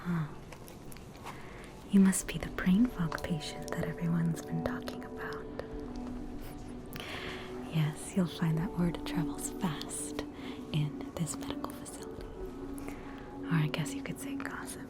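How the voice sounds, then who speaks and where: Woman playing slow, whispering Australian witch. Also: deep voice, fairly even intonation, over loud music. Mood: curious, knowing. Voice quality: soft, breathy whisper. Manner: courteous, attentive, unhurried. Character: polite, gossipy female witch working in hospital. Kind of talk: whispered ASMR roleplay.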